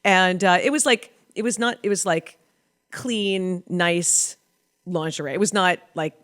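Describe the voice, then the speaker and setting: A middle-aged woman hosting a casual chat, telling stories with frequent laughter, recorded in a home studio on a boom-arm studio mic. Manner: laughing, storytelling. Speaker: host. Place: home studio with a lit backdrop.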